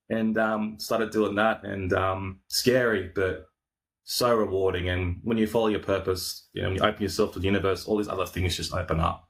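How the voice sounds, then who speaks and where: A man's voice: sits low, steady tone, normal speed, vocal fry, fairly bright tone. An Australian man, a podcaster and coach, talking in a casual, rambling way with lots of fillers and restarts over a video call. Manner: laid-back, rambling with frequent fillers and restarts. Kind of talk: casual interview chat.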